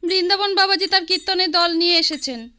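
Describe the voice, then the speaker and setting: Young granddaughter, radio play, very high girlish voice, bright. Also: fairly even intonation, fairly quick. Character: young granddaughter, eager then sulky. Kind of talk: radio drama acting.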